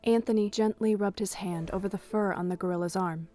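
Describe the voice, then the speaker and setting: A woman's voice: mid-range voice, fairly even intonation, fairly quick, dark tone. A woman reading scripted third-person narration for an audio drama as its storyteller. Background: music.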